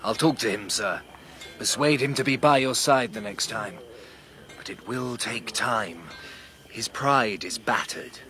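An actor playing a dutiful, respectful knight with a formal manner in a historical audio drama. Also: male voice, mid-range voice, a little slow, pushed and bright. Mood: earnest. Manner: formal, deferential. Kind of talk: historical radio drama acting.

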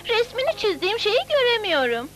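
A woman's voice: very high-pitched, very animated, brisk. A woman voicing a friendly, matter-of-fact young city girl in a Turkish cartoon dub. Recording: old VCD dub with music.

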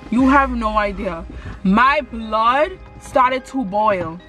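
A woman's voice: a little high, slow pace, a bit bright, faint music behind. A woman in her twenties in casual confrontational banter inside a car, insistent and slangy, often repeating herself. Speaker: couple vlogger.

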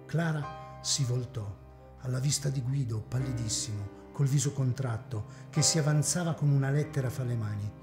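A man narrating a serial radio drama with an expressive, theatrical reading. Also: mid-range voice, moderate pace, music in the background. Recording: echoey community hall.

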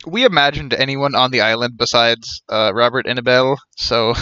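A man's voice: medium pitch, moderate pace, pushed and bright, clear, resonant tone, no room sound, muffled recording. A young man giving casual, rambling live commentary as he streams a game.

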